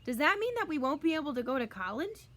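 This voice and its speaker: Storybook twin boy played by a woman: high voice, young, blunt, earnest, matter-of-fact. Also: sing-song, quick pace, a bit bright. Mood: earnest, plaintive.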